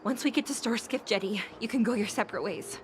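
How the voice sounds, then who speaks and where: A woman's voice: a little high, fast, a little hiss or hum, lightly acted. An actress playing a cool, stern female judge in a video game, speaking in clipped, formal, businesslike phrases. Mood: urgent, stern. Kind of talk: scripted video game dialogue.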